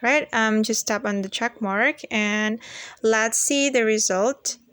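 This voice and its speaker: Higher-pitched woman narrating a tutorial, dry sound. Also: slow, fairly bright tone, clean tone, dry recording. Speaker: tutorial host. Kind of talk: casual step-by-step software tutorial narration.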